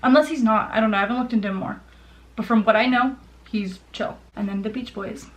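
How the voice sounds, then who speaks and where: A woman's voice: on the high side, steady tone, fast, a little hiss or hum, rough recording. A young woman chatting casually as a vlogger, gushing and rambling in an informal way. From Ontario, Canada. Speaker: vlogger showing a personal collection. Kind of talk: casual chat to camera.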